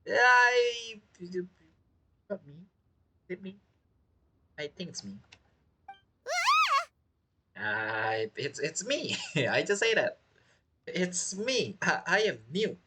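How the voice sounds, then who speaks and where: Animated man doing casual game commentary. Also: sits high, slow pace, long pauses, background noise. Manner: rambling, repeats phrases. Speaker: game streamer.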